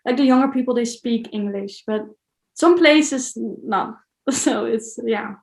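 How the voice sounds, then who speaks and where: Dutch-accented woman, highish voice, echoey room. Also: a little slow, a little mellow. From Netherlands. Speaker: interview guest, solo traveller. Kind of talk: casual interview chat.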